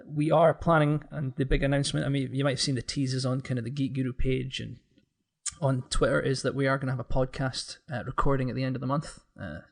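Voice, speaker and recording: Male guest, average-pitched, reflective and tentative, casual podcast talk. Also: creaky.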